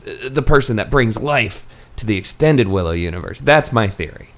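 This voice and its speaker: A man's voice: fairly low pitch, animated, normal speed. A man co-hosting a casual, comedic book discussion, rambling and often laughing through his sentences. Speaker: podcast co-host.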